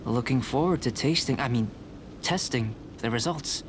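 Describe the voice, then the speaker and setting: A man voicing a polite, composed young male alchemist who speaks in a measured, gently teasing way, heard over game music. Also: mid-range voice, heavy background noise, lightly acted. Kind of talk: game voice acting. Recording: studio game audio with music behind. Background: clear music.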